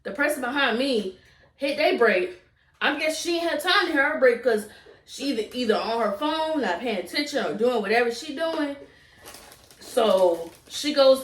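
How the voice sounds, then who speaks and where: A young adult woman with a Southern US accent telling a casual, repetitive story to viewers as a vlogger. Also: high voice. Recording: roomy echo, distant from mic.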